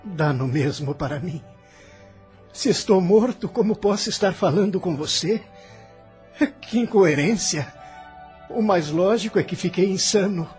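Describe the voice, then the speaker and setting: Male actor as bewildered newly dead man, Brazilian accent, slightly high voice, slow delivery, bitter and musing, audio drama over music. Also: darkish tone. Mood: distressed, incredulous.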